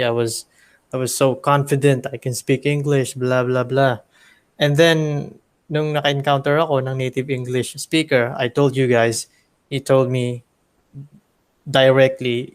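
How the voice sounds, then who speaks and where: A man with a Filipino accent, a teacher and graduate student, speaking haltingly and self-deprecatingly in a class discussion over a video call. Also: mid-range voice, clear, resonant tone. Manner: halting, self-deprecating, mixes Tagalog and English.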